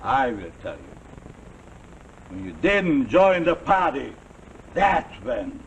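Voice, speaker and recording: An actor playing a domineering, boastful self-made general in a 1940s television suspense drama, with a gloating, halting delivery. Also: male voice, medium pitch, big pitch swings. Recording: noisy, humming 1949 television soundtrack.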